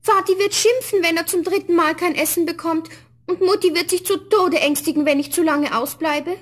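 Very high-voiced anxious little girl. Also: moderate pace. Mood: worried. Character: young girl, good-hearted, anxious youngest daughter. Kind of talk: radio fairy-tale play acting.

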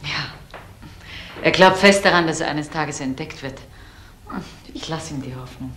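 Worried middle-aged mother, deep female voice, resigned and tender, old radio crime play, slight noise. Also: measured pace, a bit bright. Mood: wistful, tender. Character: resigned, worried, devoted mother of a grown son.

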